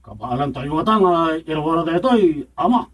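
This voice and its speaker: A man acting an eager rustic villager in an Ilocano radio comedy drama, with an Ilocano accent and animated, drawn-out calls. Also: fairly high pitch. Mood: concerned.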